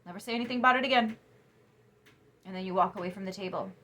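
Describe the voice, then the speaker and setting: A young woman giving a casual tarot reading to camera, chatty and emphatic, sniffly after crying, her voice turning soft when she muses. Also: medium pitch, fast talker. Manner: chatty, emphatic, drops to soft musing asides. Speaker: tarot reader.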